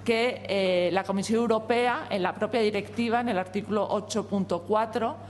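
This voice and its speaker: A woman whose first language is Spanish, representing a company at a parliamentary hearing and giving formal testimony in a measured, hesitant way with frequent fillers. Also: medium pitch, steady tone, big-room sound, a little hiss or hum.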